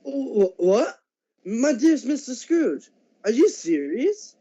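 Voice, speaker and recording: A man playing a courteous, astonished gentleman charity collector in a radio play, hesitant and flustered. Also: very high-pitched, sing-song, slow pace, slightly rough, full character voice. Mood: astonished, grateful. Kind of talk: school radio drama acting. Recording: muffled lo-fi home recording.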